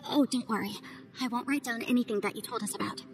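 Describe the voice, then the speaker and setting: An actress voicing a sharp young woman advisor in a game cutscene, brisk and earnest. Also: a little high, close to an everyday voice. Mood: focused. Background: game music.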